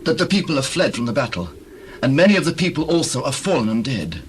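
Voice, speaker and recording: An actor playing a subdued young messenger from a battlefield, gravely recounting in a scripture audio drama on old cassette. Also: male voice, mid-range voice, big pitch swings, fast talker, close to an everyday voice. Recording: noisy old cassette tape recording.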